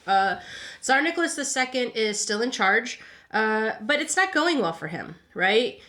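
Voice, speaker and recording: A woman's voice: a little high, big pitch swings, a little slow, sharp tone. A woman hosting a podcast, telling history casually from notes in an informal way, often tagging sentences with "right?" and stumbling over words as she goes. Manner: informal, chatty, frequent "right?" tags; stumbling, self-correcting, repeats words. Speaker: podcast host.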